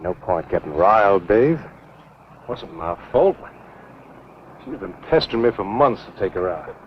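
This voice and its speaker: A man playing a smooth-talking, cowardly backwoods ladies' man with an American accent, in 1950s B-movie style. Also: on the low side, lively intonation, measured pace. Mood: nervous pleading. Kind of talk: 1950s B-movie film acting. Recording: muffled, noisy old 1950s film soundtrack.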